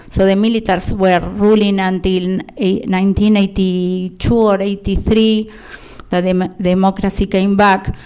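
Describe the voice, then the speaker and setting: Slow-speaking Argentine woman in her sixties. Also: medium pitch, a little mellow, smooth. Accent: Argentine Spanish. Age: 60s. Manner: halting, emotional, many pauses. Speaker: panel speaker. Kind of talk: personal testimony.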